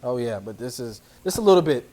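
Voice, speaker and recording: A man's voice: medium pitch, sing-song, quick pace, a crowd in the background. A man giving an informal, playful cooking demonstration, instructing as he goes. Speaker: athlete cooking a dish as guest chef. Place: indoor kitchen.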